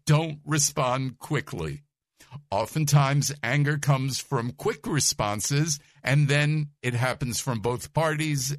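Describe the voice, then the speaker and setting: Male Bible teacher, soft voice, unhurried delivery, dry recording. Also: mid-range voice, dry recording. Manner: numbered points, chuckles, asks listeners questions.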